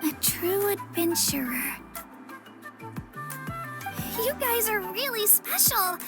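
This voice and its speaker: A woman's voice: very high voice, big pitch swings, slow, loud music behind the voice, full character voice. A woman voicing an earnest, modest young warrior girl in a video game, youthful and a little hesitant. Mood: admiring. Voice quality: youthful, girlish. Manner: earnest, self-effacing, hesitant. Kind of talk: video game character voice acting.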